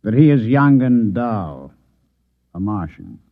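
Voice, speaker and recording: An actor playing a formal, coolly menacing Martian agent posing as a newspaper reporter in a mid-century radio drama. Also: male voice, on the low side, big pitch swings, dry recording, full character voice. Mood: probing, polite. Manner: formal, polite, deliberate. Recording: tinny, narrow-band old radio recording.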